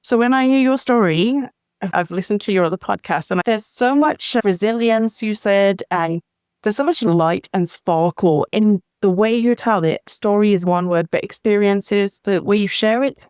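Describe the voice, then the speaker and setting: A woman's voice: mid-range voice, normal speed, a little mellow, clean tone, dry recording. A woman hosting a podcast, responding to her guest in an emotionally engaged, effusive way. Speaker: podcast host. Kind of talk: interview conversation.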